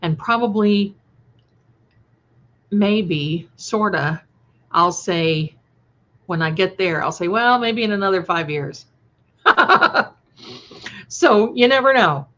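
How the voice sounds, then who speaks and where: A slightly muffled, lo-fi livestream recording of a woman in her sixties. She chats at a slow, unhurried pace, casual and affectionate, talking straight to her viewers as the host. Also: medium pitch, lively intonation, a bit bright. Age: 60s. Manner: chatty, affectionate, addresses listeners with endearments. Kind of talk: casual live chat with viewers.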